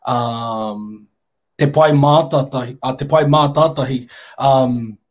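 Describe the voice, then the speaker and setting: A New Zealand man speaks from home over a video call. His voice sits in a middle range. He talks in a thoughtful, deliberate way. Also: mid-range voice. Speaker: university staff member, panel guest. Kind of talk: thoughtful discussion, mixing Māori and English.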